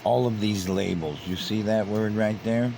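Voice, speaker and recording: A man's voice: fairly low pitch, moderate pace, vocal fry, warm tone, slight background noise. A man commenting on online comments, reading them out and repeating himself as he grows heated and emphatic. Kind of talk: casual commentary.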